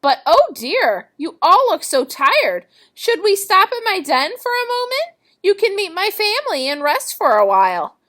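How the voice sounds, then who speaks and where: A woman's voice: very high voice, very animated, measured pace, a bit bright, voice pushed up, full character voice. A woman voicing a sly male storybook fox who is falsely kind, with a solicitous, coaxing manner.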